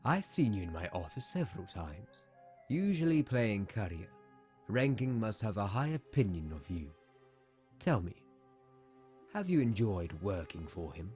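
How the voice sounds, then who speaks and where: Condescending, menacing corrupt politician. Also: male voice, lowish voice, big pitch swings, darkish tone. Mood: suave. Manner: condescending, deliberate. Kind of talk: audio comic dramatization. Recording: music behind the voice.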